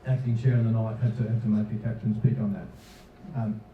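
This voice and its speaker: Hesitant low-voiced man in a very echoey hall. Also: monotone, slight background noise. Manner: hesitant, halting, many fillers. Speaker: council official answering questions. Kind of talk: public meeting answer. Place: civic centre meeting hall. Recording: very echoey, distant, dull sound.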